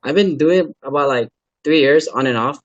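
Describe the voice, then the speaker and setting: A man's voice: fairly high pitch, brisk, no room sound, lo-fi sound. Laid-back man rambling with fillers. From Los Angeles, USA. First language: Khmer. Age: adult parent. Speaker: guest, car builder and YouTuber. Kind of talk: casual interview chat.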